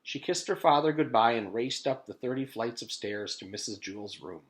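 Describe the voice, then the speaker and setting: A man's voice: mid-range voice, lively intonation, normal speed. Male teacher reading a storybook aloud. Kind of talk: storybook narration with informal introduction.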